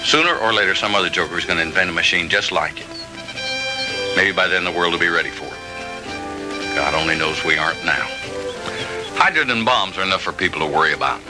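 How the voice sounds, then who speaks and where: An actor playing a stern, world-weary police lieutenant in a 1960s B-movie style. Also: male voice, sits low, expressive, fairly quick, pauses often, low-quality recording. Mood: grave. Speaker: film actor. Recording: old 1960s film soundtrack, loud music.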